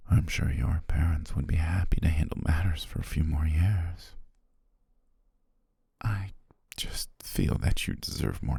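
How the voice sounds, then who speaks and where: Man voicing a very deep, husky, coaxing serpent shapeshifter. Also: dry recording, clean studio sound, exaggerated voice. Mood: soothing, deferential. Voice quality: very deep, husky, breathy, often whispered. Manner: slow, gentle, formal, coaxing. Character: possessive male naga shapeshifter posing as devoted, soothing servant. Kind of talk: intimate ASMR roleplay.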